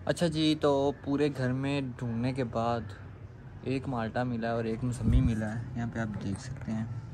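A man's voice: medium pitch, level tone, normal speed. A young man with a Pakistani Urdu accent vlogging casually, explaining things to viewers and mixing in English phrases, filmed on a phone held at arm's length. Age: young adult. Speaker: vlogger and cosmetics shop owner. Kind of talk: casual vlog talk to camera. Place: home rooftop in sun, then a stocked shop on a road. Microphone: phone camera at arm's length. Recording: loud street and vehicle noise.

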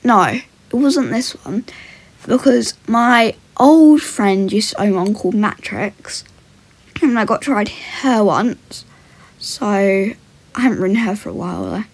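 A woman's voice: medium pitch, animated, measured pace, slightly rough. A young woman giving casual vlog commentary while riding, breaking off into short soothing commands and murmured praise to a horse. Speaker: horse rider in a voiced role-play video.

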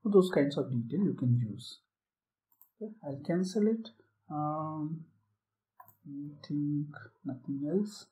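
A man presenting a software tutorial, explaining a screen-share demo step by step with occasional fillers. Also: fairly high pitch, expressive, takes it slowly, pauses often, poor audio.

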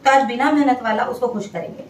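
A young woman talks casually to her viewers from her home kitchen, chatty and relaxed as she walks through her errands and cooking. Her voice sits a little high. A faint hiss of room noise runs underneath. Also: quick pace, echoey room, far from the mic. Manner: chatty, talks to her baby in a sing-song coaxing way. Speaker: family vlogger. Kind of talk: casual home vlog chat.

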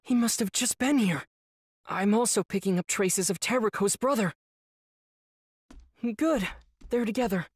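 Reassuring teenage boy, anime dub, earnest, husky, dry recording. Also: high voice, measured pace, pauses often, dry recording. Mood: focused. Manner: earnest, assured.

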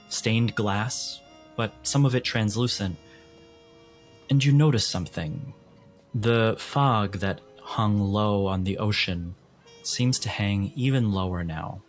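A man with a low voice runs a tabletop game. He narrates scenes deliberately, phrase by phrase, in an atmospheric style over faint background music. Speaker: game master.

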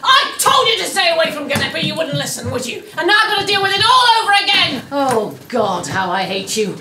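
An actress playing a sharp, sarcastic, middle-aged British boss with a foul mouth in a comedy soap. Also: female voice, sits high, big pitch swings, fairly quick, background noise. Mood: furious. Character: furious, foul-mouthed woman boss of a radio drama office. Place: office, indoors.

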